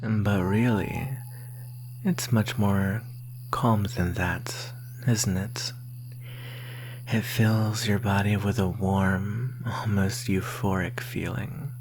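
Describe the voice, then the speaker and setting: A woman acts out a thirsty vampire in an ASMR roleplay, whispering in a low, breathy voice. The delivery is extremely slow, teasing and intimate, with menace under the flirtation. It is recorded close to the mic over a faint hiss. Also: exaggerated voice, poor audio. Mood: soft, satisfied. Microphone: close microphone. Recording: faint steady hiss and hum.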